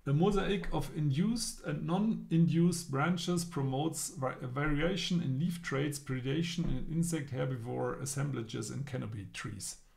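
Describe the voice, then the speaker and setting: Gravelly, chatty middle-aged man. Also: on the high side, a little slow, hardly any pauses, darkish tone. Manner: chatty, joking, reads headlines aloud. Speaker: co-host. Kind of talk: casual live-stream discussion. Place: indoor room, seen on video.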